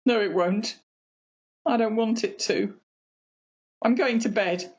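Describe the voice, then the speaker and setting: A woman's voice: a little high, slow pace, breathy edge, muffled recording, full character voice. A woman playing a grief-maddened, obsessive elderly mother in an amateur radio play, mournful and insistent. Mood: desolate.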